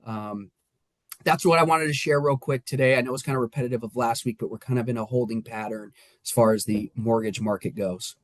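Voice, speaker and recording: An upbeat man speaks as a mortgage lender on a podcast, explaining rates and options in a brisk, eager way. His voice sounds dry and close, with little room around it. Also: mid-range voice, no room sound. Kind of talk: casual podcast talk. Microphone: remote call.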